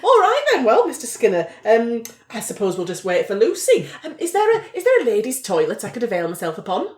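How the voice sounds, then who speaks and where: A woman putting on an arch, animated lady snoop. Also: on the high side, a little fast. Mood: mock-polite. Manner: mock-polite, wordy, theatrical. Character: arch, flirtatious lady snoop narrating her own exploits. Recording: roomy echo.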